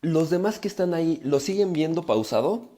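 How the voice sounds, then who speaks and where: A man's voice: fairly high pitch, animated, moderate pace, warm tone. A man with a Mexican accent giving an informal live self-help talk to viewers, explaining emphatically and acting out inner dialogue as he goes. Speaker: livestream speaker on relationships and psychology.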